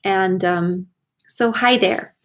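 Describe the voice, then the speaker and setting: A woman's voice: mid-range voice, takes it slowly. A woman from Germany, a native German speaker and singing teacher, talking casually and enthusiastically to viewers as a livestream host. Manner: chatty, enthusiastic, addresses viewers directly.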